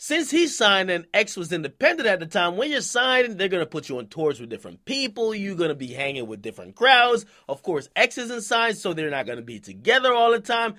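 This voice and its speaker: Male commentary host, high voice, bright and sharp, emphatic, slangy, opinionated. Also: very animated, brisk, hardly any pauses. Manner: emphatic, punctuates points with "okay?". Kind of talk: opinionated hip-hop news commentary, slangy.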